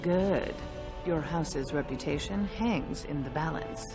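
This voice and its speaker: A woman playing a shrewd, imperious older dwarf noblewoman in a fantasy game, sharp-tongued and commanding. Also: deep voice, takes it slowly. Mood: wry, conspiratorial. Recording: music behind the voice.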